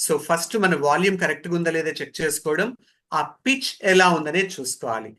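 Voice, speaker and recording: A man's voice: fairly high pitch, quick pace, sharp tone. A man giving an interactive training lecture in a didactic way, posing questions and repeating key phrases. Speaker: voice modulation trainer.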